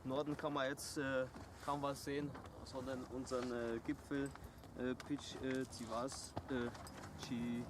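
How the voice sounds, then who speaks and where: A man's voice: medium pitch, flat delivery, background noise. Hesitant man briefing outdoors. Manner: halting, many hesitations. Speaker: soldier in mountain guide training. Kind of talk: giving a summit briefing. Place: outdoors on a mountain.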